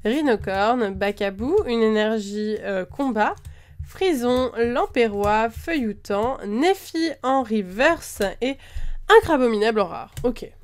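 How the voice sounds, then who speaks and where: Chatty woman with a bit of a cold, higher voice, rattling off card names between lots of ums. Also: expressive, slow pace. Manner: chatty, lists items one after another, frequent "euh" fillers. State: slightly congested from a cold. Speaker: card-opening video host. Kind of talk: casual chat while opening trading card packs.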